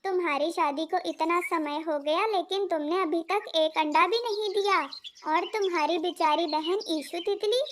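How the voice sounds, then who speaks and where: A woman's voice: very high voice, moderate pace. A woman voicing a blunt, scolding older butterfly mother-in-law in a children's cartoon. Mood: blunt, insistent. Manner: nagging, blunt. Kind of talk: Hindi children's cartoon dialogue.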